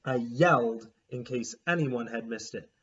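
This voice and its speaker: A man, a teacher, reading a children's chapter book aloud with expressive, animated storytelling. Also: mid-range voice, measured pace, husky. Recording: dull lo-fi recording.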